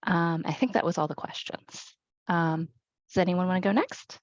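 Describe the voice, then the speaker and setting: Halting woman on dull, dry call audio. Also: fairly low pitch, dry recording. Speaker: coding program participant. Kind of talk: casual self-introduction on a group call.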